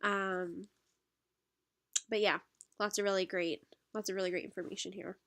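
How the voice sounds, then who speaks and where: Stuffed-up woman lecturing, gravelly. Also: mid-range voice, moderate pace. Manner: lots of "um" fillers, relaxed explaining. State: stuffed up from allergies. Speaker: instructor. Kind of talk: recorded lecture over slides.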